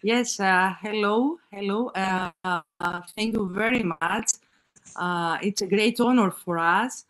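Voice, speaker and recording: A woman's voice: medium pitch, takes it slowly, a bit bright. A woman receiving an award, formally thanking the committee. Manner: formal and grateful. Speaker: award recipient.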